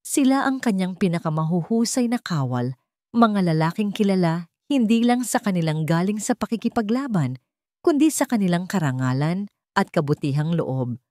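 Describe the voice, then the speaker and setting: A woman narrating a scripted dramatic story in a solemn, deliberate manner. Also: fairly low pitch, sing-song, a little mellow, no echo, studio quality.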